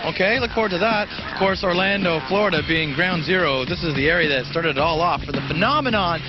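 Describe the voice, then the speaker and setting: A man hosting a music TV show, energetic and chatty. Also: high voice, fast talker. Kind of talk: upbeat TV hosting. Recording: dull TV sound with loud music behind.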